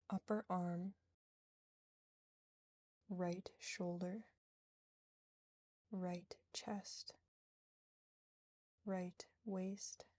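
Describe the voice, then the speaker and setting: A woman guiding a yoga nidra relaxation in a soothing, gentle, unhurried manner with long pauses. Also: fairly low pitch, no echo, studio quality. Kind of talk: guided relaxation meditation.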